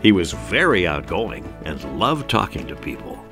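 A man's voice: low voice, very animated, moderate pace, music in the background. A man reading scripted narration for a documentary.

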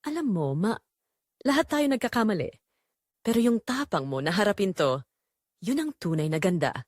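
Lady doing a sad gentle son forgiving his mom, audio drama, lively pitch. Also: normal speed, no echo, studio quality.

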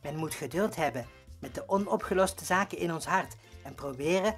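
A woman playing an earnest, sensitive co-host in a comedy podcast, with a gentle, hesitant manner. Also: low-pitched, normal speed, soft background music, natural voice. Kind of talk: comedy podcast character acting.

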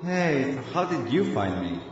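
A man's voice: medium pitch, big pitch swings, moderate pace, natural voice. A man acting in an audio drama as a dead young street tough, a defensive, regretful big brother talking in slang with Spanish touches. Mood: defiant. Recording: muffled, echoey lo-fi audio drama recording. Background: loud background music.

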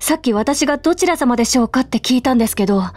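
An actress playing a young woman at a bar who answers the bartender's jokes with quick, sharp comebacks, in a voice drama. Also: high voice, expressive. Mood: retorting. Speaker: bar customer.

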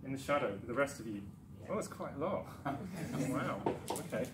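A man presents to a conference audience in a darkened, echoey lecture room, picked up by a far camera. He talks in a casual, self-deprecating way, full of ums and restarts. His pitch is middling, and a steady noise sits behind him. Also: normal speed. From Newcastle, England. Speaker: conference speaker. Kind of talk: presentation talk.

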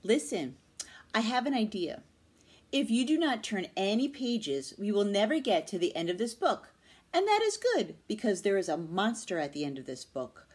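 A woman's voice: medium pitch, sing-song, moderate pace, a little mellow, husky. A woman voicing a lovable, frightened male furry monster from a picture book, dramatic and pleading. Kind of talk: children's picture book read-aloud.

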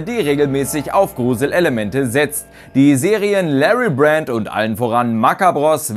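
A man in his thirties delivering scripted, articulate commentary as a video host. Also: a little high. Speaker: video host presenting a ranking. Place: small home studio room. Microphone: lapel mic. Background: eerie background music.

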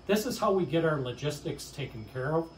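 A middle-aged man speaks in an earnest, measured way, leaning a little high in pitch and pausing on uh and um. He is recorded in an echoey indoor lobby by a camera mic a few feet off, with faint noise behind him. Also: expressive, distant mic. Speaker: nonprofit farm aid leader. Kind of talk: interview answers. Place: indoor lobby with stone fireplace.

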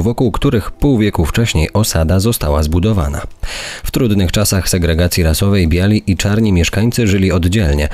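A man narrates a documentary script in a low voice. The sound is dry, with no room around it. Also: darkish tone, no room sound.

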